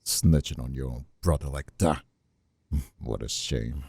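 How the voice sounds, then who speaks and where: A man playing a menacing, smug mobster brother in an audio roleplay, gravelly and husky, with mock-polite, drawn-out taunts. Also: a bass voice, a little mellow, no echo, clean studio sound, exaggerated voice. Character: menacing, taunting mobster brother, escaped convict and crew leader. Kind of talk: scripted audio roleplay acting, ASMR.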